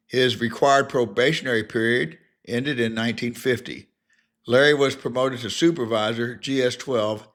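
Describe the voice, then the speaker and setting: A man's voice: mid-range voice, a bit bright. An older man narrating a scripted biographical documentary in a formal, careful reading manner.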